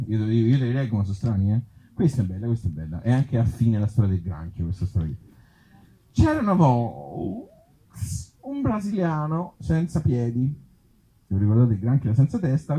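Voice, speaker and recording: A man's voice: fairly low pitch, warm tone, husky, poor audio. A man reading his own absurd short stories live, breaking off into conversational, self-deprecating asides to the audience. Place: live outdoor festival event before an audience.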